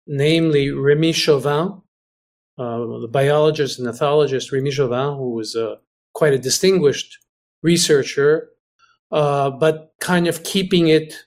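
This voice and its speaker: A man who grew up in Greece, speaking as an interview guest over a video call, halting and often restarting as he searches for words. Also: medium pitch, a little mellow. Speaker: guest, researcher. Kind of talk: casual conversation.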